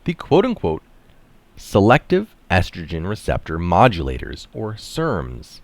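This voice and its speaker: A middle-aged man reading an article aloud with deliberate, emphatic phrasing and stressed key terms. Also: low-pitched, huge pitch swings, no echo. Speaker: reader of articles. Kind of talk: reading an article aloud with brief casual asides. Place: small room indoors.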